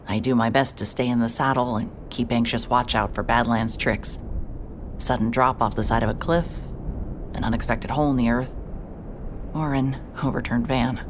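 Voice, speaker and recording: A woman's voice: extremely low pitch, steady tone, normal speed. A woman narrating an audio drama in the first person, with a wry, sardonic delivery. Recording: clear background noise.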